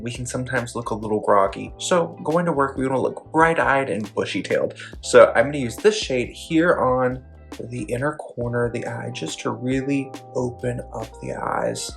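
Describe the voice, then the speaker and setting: A man narrating a makeup tutorial, gently explaining each step in detail. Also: vocal fry. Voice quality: describes own voice as deep.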